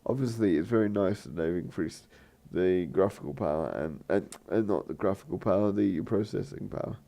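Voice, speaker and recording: A man hosting a gaming channel, talking in a rambling, hesitant way with lots of fillers and restarts, his voice croaky. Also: deep voice, normal speed, warm tone. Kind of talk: rambling let's play commentary.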